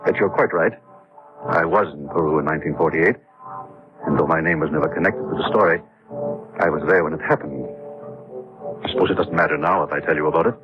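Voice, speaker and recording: Guarded practical engineer, middle-aged, low-pitched, measured storytelling, old radio play, faint music underneath. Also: male voice. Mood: guarded, reminiscing. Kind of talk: 1960s radio drama narration. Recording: dull old radio recording, music behind.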